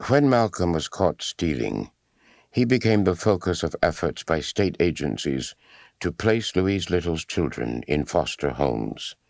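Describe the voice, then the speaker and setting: A man's voice: deep voice, big pitch swings, a little slow, creaky, a little mellow, dry recording. A man narrating a biography in the third person from a script, as an audiobook narrator.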